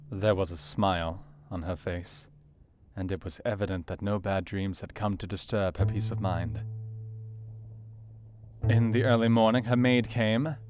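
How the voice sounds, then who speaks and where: Man playing earnest Victorian doctor, low voice, measured formal diary narration, radio drama, caring, guitar music behind. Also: close to an everyday voice. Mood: soft, soothing. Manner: measured, formal, literary delivery. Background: plucked guitar music.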